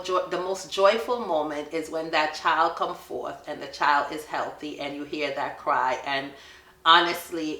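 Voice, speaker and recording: A middle-aged woman with a Jamaican accent, telling her son stories in a relaxed family question-and-answer chat and laughing often. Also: deep voice, reverberant. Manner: warm storytelling, laughs often.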